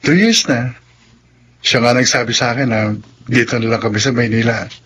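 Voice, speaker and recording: An actor playing a friendly, grateful young male restaurant worker in a Tagalog radio drama, talking in a gently inquisitive, conversational way. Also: mid-range voice, fairly even intonation, normal speed, husky. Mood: earnest, grateful. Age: young adult.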